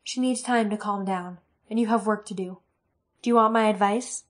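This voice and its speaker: A woman's voice: mid-range voice, fairly even intonation, close to an everyday voice. A woman doing a bossy, motherly village woman. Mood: brisk. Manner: brisk, fussing, no-nonsense.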